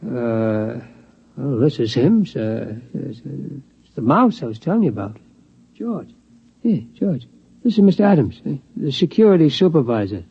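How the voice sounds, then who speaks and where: An actor in an old radio drama playing a humble, folksy security guard who speaks hesitantly and deferentially. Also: male voice, mid-range voice, big pitch swings, normal speed, gravelly, breathy edge, exaggerated voice. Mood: deferential, nervous. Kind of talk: 1950s radio drama acting and narration. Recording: dull vintage radio recording with music.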